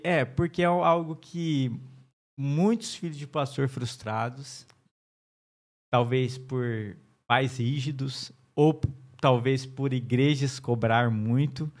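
A man's voice: mid-range voice, fairly even intonation, slow pace. A man hosting an interview, asking a pastor questions in a chatty, enthusiastic way. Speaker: interview host. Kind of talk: casual interview conversation.

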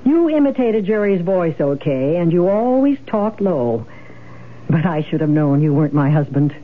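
An actress playing a bitter, sharp-tongued wife, with a pointed, accusing delivery in 1970s radio drama style. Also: female voice, fairly low pitch, big pitch swings, normal speed. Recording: tinny, band-limited old radio broadcast.

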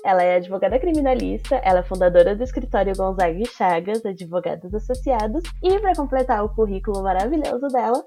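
Mellow Brazilian woman hosting, slightly under the weather. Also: mid-range voice, expressive, quick pace, hardly any pauses, faint music behind. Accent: Brazilian Portuguese. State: slight cold. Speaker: podcast host. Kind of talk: casual conversational podcast hosting.

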